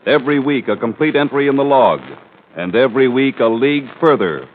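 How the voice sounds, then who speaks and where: A man's voice: mid-range voice, animated, a little fast, slight background noise. A man announcing a radio serial in a grand, dramatic 1940s style. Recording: tinny old radio broadcast with music.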